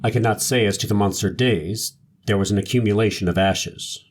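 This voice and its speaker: A terse police marshal of the 1890s played by a man testifies in a trial reenactment. The voice is very deep, and the delivery is careful, formal and guarded. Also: brisk, natural voice. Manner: clipped, formal, guarded answers. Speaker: voice actor reading a witness's part. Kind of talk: dramatized reading of a trial transcript.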